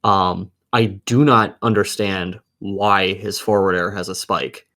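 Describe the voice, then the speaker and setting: A man with a fairly low voice chats casually about games. He is blunt and peppers his talk with heavy swearing. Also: steady tone, a little slow, fairly bright tone. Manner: blunt, heavily profane. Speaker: gaming podcast co-host. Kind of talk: casual gaming chat.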